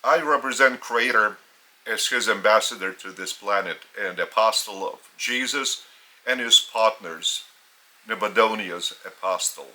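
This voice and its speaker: A man with a Lithuanian accent, a native Lithuanian speaker, giving a solemn spiritual teaching in English in slow, deliberate phrases with long pauses. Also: fairly low pitch, bright, forceful tone, rough recording.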